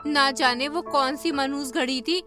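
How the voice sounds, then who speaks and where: Woman as a discontented, high-voiced village wife. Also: fairly even intonation, measured pace, bright, forceful tone, faint music behind. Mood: complaining. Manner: complaining.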